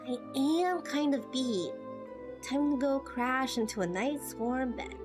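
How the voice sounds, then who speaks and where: Woman acting a spirited teenage girl adventurer, fairly high. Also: takes it slowly, over music. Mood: friendly, casual. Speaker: amateur voice actor playing through a game. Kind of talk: amateur game dialogue voice acting.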